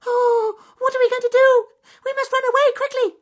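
A man voicing a frightened little male field mouse, panting and gasping in a high squeaky voice. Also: little pitch movement, moderate pace. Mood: panicked.

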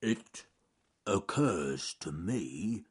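A man voicing a big, strong, clever male bear who speaks slowly and carefully. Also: deep voice, animated, creaky, husky, full character voice. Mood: deliberate. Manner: slow and careful speech.

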